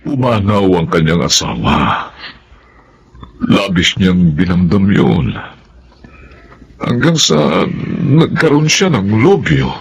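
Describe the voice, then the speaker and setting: A man's voice: low voice, slightly rough, full character voice. A man playing a worried, emotional older grandfather in a radio melodrama, hesitant and pleading. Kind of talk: Filipino radio melodrama acting.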